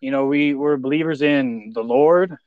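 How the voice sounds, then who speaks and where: A man's voice: mid-range voice, moderate pace, clean tone, no echo, phone-quality sound. An American man hosting a casual interview, talking effusively in a rambling way with many repeats. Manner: effusive praise, rambling, repeats "you know". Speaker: podcast host.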